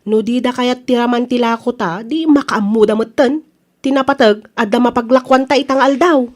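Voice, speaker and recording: A woman playing a brisk, defensive food stall owner with an Ilocano accent in a radio comedy drama. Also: fairly high pitch, lightly acted. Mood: bossy.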